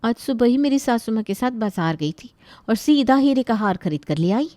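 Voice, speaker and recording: A woman's voice: fairly high pitch, lively intonation, normal speed, a little mellow, dry recording, clean studio sound, voice pushed up, natural voice. A woman voicing a gossipy, scheming young daughter-in-law who feigns innocence in a moral story. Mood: gossipy, aggrieved.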